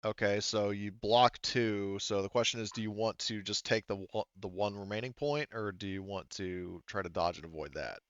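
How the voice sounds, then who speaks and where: A man with a low, smooth voice runs a tabletop game. He talks casually and haltingly, thinking aloud through the rules. The sound is dry and a little dull. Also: dry recording. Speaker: game master. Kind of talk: running a tabletop sci-fi roleplaying game.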